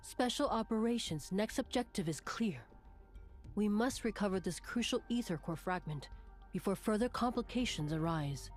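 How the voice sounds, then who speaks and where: Woman doing a no-nonsense special ops captain lady, medium pitch, matter of fact, soft music under it. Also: expressive, close to an everyday voice. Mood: authoritative. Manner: authoritative, measured, matter-of-fact. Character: serious, authoritative female special-operations captain.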